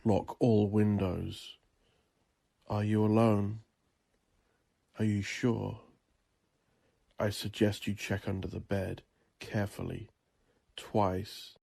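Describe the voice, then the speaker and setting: Gravelly, husky male narrator. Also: on the low side, little pitch movement, takes it slowly, pauses often, warm tone. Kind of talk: audio drama narration.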